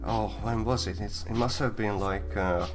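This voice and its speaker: A young man hosts a casual live stream in a lowish voice. He talks haltingly, piling up fillers and restarts. Faint guitar music plays behind him. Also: fairly even intonation. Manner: hesitant, many fillers and restarts. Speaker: host.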